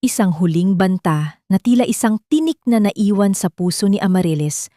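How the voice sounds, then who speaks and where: A woman's voice: medium pitch, animated, normal speed, warm tone, no room sound. Woman narrating drama, close dry sound. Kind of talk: dramatic scripted audio story narration.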